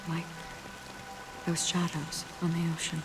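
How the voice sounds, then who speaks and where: A woman playing a quiet, contemplative wanderer in a game cutscene, speaking gently and hesitantly. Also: lowish voice, unhurried, music in the background, natural voice. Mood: pensive. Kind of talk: dramatic scripted game cutscene acting. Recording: steady rain noise behind the voice. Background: rain.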